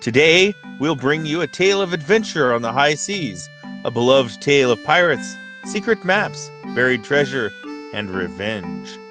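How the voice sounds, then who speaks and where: Animated male radio announcer over music. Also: medium pitch, moderate pace. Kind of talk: dramatic scripted radio announcement. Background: plucked string music.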